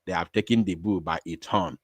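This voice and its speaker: A man's voice: deep voice, steady tone, fairly quick, no echo. Emphatic man hosting a livestream. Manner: emphatic repetition, swings from quiet to loud. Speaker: livestream host. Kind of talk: impassioned livestream talk.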